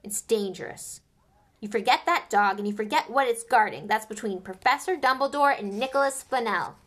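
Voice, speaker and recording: A woman voicing a big, gruff half-giant gamekeeper who gets hot-tempered and defensive, in an audiobook reading. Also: fairly high pitch, normal speed, fairly bright tone. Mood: gruff, heated. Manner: gruff, hot-tempered, country-folk speech.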